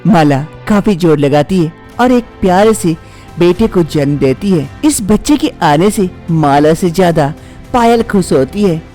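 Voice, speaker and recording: A man's voice: high voice, lively intonation, unhurried, dark tone, soft background music. A man reading scripted narration as the storyteller of a moral tale.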